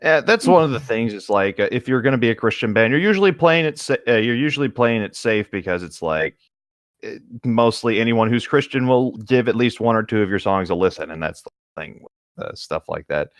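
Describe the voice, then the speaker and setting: A man's voice: mid-range voice, expressive, a little fast, no room sound, studio quality. A man chatting casually, feeling his way through his sentences in a tentative manner. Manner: hesitant, tentative phrasing. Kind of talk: casual chat.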